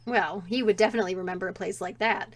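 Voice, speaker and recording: An actress playing a wry, practical wife and mother who makes teasing deadpan quips in an audio drama comedy. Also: mid-range voice, fast talker, natural voice. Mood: teasing, upbeat.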